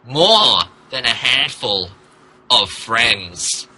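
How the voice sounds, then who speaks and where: A man's voice: medium pitch, very animated, drawn-out words, sharp tone, breathy edge, poor audio. A man reading fan fiction aloud in a mock-dramatic style, poking fun at the mistakes in the text as he goes. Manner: stumbles over and mocks the text's typos. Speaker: podcast host reading aloud.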